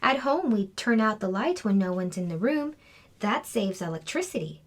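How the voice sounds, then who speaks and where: A woman's voice: medium pitch, sing-song, normal speed. Woman acting out a little schoolgirl, confident, bossy, assured, storybook character. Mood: helpful.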